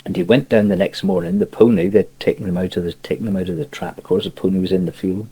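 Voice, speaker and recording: A man's voice: sits low, steady tone, fast talker, mellow, slightly rough, poor audio. An older man with a Cornish accent, telling a rambling local story and chuckling as he goes, heard on an old cassette tape. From Cornwall. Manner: chuckling, rambling yarn-spinner. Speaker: dialect storyteller. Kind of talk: spoken local anecdote.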